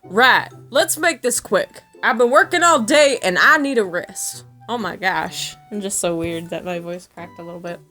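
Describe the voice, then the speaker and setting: A woman's voice: medium pitch, normal speed, hardly any pauses, over loud music. A woman recording outtakes as an amateur voice actor, giggly, self-mocking and prone to swearing between retakes. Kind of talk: recording session outtakes, flubbing and retaking lines.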